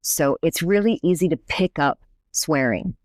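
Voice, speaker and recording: A woman's voice: low-pitched, lively intonation, a little slow, darkish tone, no echo, studio quality. A woman professor and native English speaker, explaining her research in a conversational podcast interview, thoughtful with frequent restarts.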